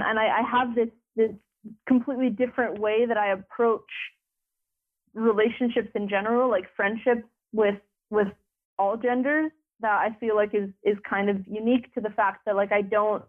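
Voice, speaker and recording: A young woman speaking as an interview guest, rambling with many filler words and restarts. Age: young adult. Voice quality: deeper voice. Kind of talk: conversational interview. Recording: phone line.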